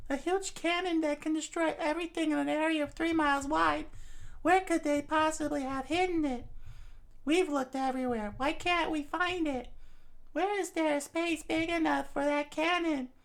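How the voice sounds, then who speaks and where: A frantic young princess in a very high falsetto. She questions urgently, as if time is running out, in an adventure story retelling. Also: fairly even intonation, moderate pace, gravelly, a bit bright, heavily acted. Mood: anxious, urgent.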